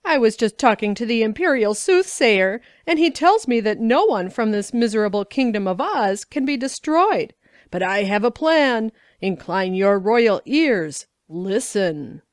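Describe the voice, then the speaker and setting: A sly young prince plotting against his father, as a woman reader puts him on. Raised, lively, mock-sweet delivery full of false affection. Dry close recording. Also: a little high, unhurried, no echo. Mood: scheming. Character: scheming young male prince, sly, fawning. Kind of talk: storybook reading.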